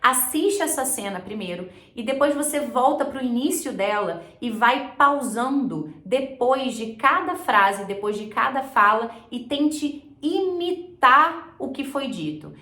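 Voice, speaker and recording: A woman's voice: sits high, expressive, unhurried, few pauses, pushed and bright, roomy sound. A Brazilian woman giving a conversational, explanatory language lesson, mixing English terms into her speech as she instructs. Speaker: English teacher and video host.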